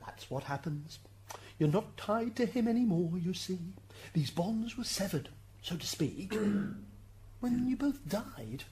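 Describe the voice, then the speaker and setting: A woman's voice: mid-range voice, animated, takes it slowly, noisy background. A woman playing a courteous, slightly flustered and wry defence lawyer in a radio drama. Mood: wry, reassuring. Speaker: defence advocate.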